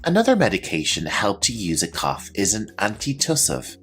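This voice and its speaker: A man presenting a scripted English vocabulary lesson, explaining terms in a clear, instructional manner. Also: fairly low pitch, wildly swooping pitch, moderate pace, a bit bright, soft background music. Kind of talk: scripted educational narration with example sentences.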